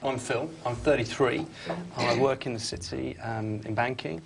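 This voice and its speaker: Quick, self-assured man in his thirties. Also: medium pitch, vocal fry, background noise. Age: 30s. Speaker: dater. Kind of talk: casual interview. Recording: music under the voice.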